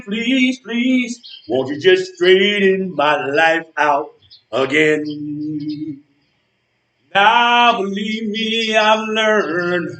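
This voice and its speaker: A man's voice: high voice, bright, forceful tone, heavily acted. A man on stage playing a troubled, remorseful believer who prays and pleads earnestly in a gospel play. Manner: earnest, pleading, drawn-out delivery. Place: stage in a large room. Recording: roomy, echoing stage, distant microphone.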